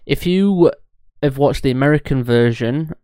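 A man's voice: mid-range voice, moderate pace, dark tone, clean tone, no room sound. A man co-hosting a casual podcast, blunt and sweary, laughing often. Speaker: podcast co-host.

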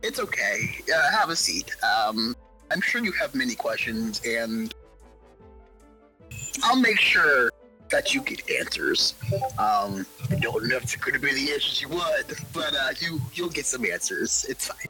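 A performer playing a casual, unsettling vampire bishop in an improvised audio drama, halting and offhand, with breathy breaks into whispers. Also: mid-range voice, big pitch swings, pushed and bright, soft background music, low-quality recording. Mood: calm, matter-of-fact. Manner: halting, offhand, drawn-out hesitant delivery. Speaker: interviewee in roleplay. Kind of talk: unscripted in-character audio drama.

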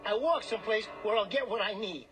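An actor playing a desperate, greedy small-time gambler, brash and pushy, in a radio drama. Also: male voice, high-pitched, a little fast, a bit bright, faint music behind, rough recording. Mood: demanding. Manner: brash, wheedling, slangy, bullying.

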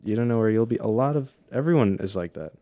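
A man's voice: fairly low pitch, lively intonation, quick pace, warm tone. A man in his early twenties chatting casually with a friend, asking curious questions. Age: 20s. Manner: curious, questioning, laughs easily. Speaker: friend in conversation. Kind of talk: casual chat.

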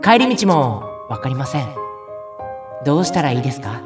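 A man's voice: mid-range voice, very animated, moderate pace, far from the mic, music in the background, close to an everyday voice. A man playing a timid, self-pitying young man, whiny and rambling, in an audio drama. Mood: despairing. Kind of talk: audio drama acting with narration.

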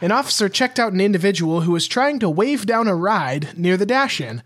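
A man's voice: high voice, sing-song, a little fast, fairly bright tone. A young man co-hosting a podcast, joking dryly and reading short police reports aloud. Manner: dry, teasing humor, easy laughter. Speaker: podcast co-host. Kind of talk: casual chat and reading news items.

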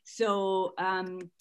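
An Italian American woman with an Italian accent, a psychologist answering interview questions earnestly and laughing lightly between points. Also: mid-range voice. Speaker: psychologist guest.

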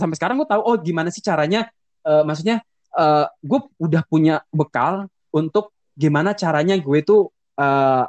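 A young man from Indonesia talks through his thoughts in a relaxed podcast chat, slipping back and forth between Indonesian and English. His voice sits on the higher side and the sound is dry and close. He keeps pausing on fillers and restarting phrases. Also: no echo. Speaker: podcast co-host. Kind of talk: casual bilingual podcast chat.

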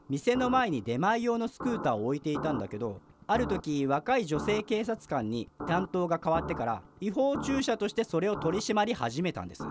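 An actor playing an earnest, easily exasperated junior police officer who speaks in polite, formal explanations in a radio drama. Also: male voice, sits high, noisy background. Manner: polite formal explaining with sudden blunt outbursts. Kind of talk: Japanese radio drama dialogue.

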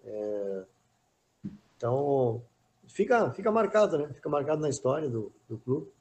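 A man's voice: medium pitch, sing-song, moderate pace, darkish tone, low-quality recording. A middle-aged former footballer as a podcast guest, talking in a casual, hesitant way and often repeating words while he thinks. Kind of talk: casual interview chat.

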